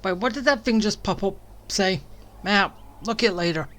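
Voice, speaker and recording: A woman's voice: medium pitch, normal speed, a bit bright. A woman giving casual gameplay commentary as a streamer, musing aloud as she reacts. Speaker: game streamer.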